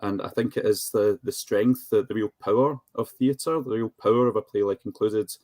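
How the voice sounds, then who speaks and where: A man speaking as a charity representative in a panel discussion, measured and articulate with hesitant pauses. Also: on the low side, fairly even intonation, darkish tone, dry recording, studio quality.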